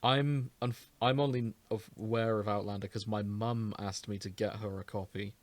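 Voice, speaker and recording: A man's voice: on the low side, fairly even intonation, moderate pace, no room sound. A man co-hosting a podcast in casual comedic banter, with an irreverent, crude sense of humour. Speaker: podcast co-host.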